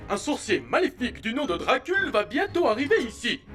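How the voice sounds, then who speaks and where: Melodramatic cartoon royal guard, lively pitch. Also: male voice, fairly quick, faint music behind, exaggerated voice. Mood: wry, matter-of-fact. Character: hesitant, soft-hearted royal guard, reluctant executioner. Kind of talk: French animated film dub.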